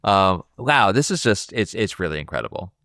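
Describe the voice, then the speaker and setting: A man's voice: on the low side, sing-song, moderate pace, pushed and bright, dry recording, clean studio sound. A man co-hosting a podcast, chatting casually about a film. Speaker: podcast co-host.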